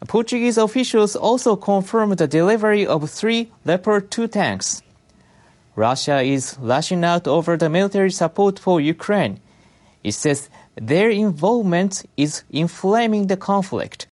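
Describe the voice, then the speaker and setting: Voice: mid-range voice, animated, a little slow, darkish tone. A news reader delivering scripted international news narration.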